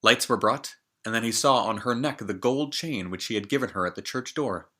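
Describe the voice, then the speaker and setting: A man in his twenties reading a fairy tale aloud, expressive and jokey, with casual asides. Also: medium pitch, normal speed. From Canada. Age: 20s. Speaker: storyteller.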